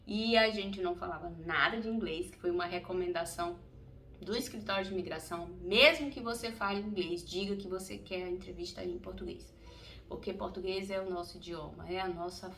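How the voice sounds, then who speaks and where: A woman's voice: on the low side, a little fast, a bit bright. A middle-aged Brazilian woman telling a personal story in a casual, chatty vlog, laughing often as she goes. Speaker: vlogger. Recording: distant mic, faint ambient noise.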